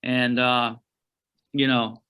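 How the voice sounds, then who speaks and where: A middle-aged man from Oklahoma, a guest outdoor writer, telling stories in a rambling, folksy way with frequent pauses and restarts over a remote call. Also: medium pitch, level tone, pushed and bright, clean tone. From Oklahoma, USA. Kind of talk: casual storytelling interview.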